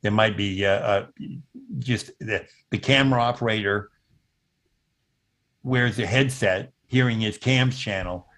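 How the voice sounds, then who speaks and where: Older man explaining, halting delivery. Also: fairly low pitch, fairly bright tone. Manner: halting, pausing mid-sentence while explaining. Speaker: presenter demonstrating intercom software. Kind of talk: explanatory technical demo on a video call. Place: indoor room at home, on a video call.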